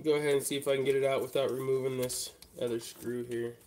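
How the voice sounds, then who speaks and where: A man's voice: medium pitch, little pitch movement, quick pace, warm tone. A man with a stuffy, congested voice from a head cold, chatting casually with live-stream viewers while working at a bench. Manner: laid-back, chatty, talks straight to viewers. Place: hobby workbench.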